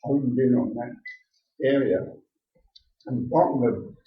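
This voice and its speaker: An elderly man from England, in his eighties, telling his life story in a plainspoken, halting way with many "uh" restarts. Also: mid-range voice, background noise. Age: 80s. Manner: plainspoken, hesitant with frequent "uh" restarts. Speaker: interviewee. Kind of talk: recorded oral history interview. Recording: echoey room, dull lo-fi tape.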